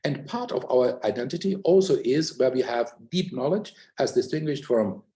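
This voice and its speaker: An older man talks through his ideas in a thoughtful, explanatory way. He pauses often with fillers. His voice is mellow, at a middle pitch for a man. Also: expressive, a little hiss or hum. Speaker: academic guest in a conversation. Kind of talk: reflective conversational talk.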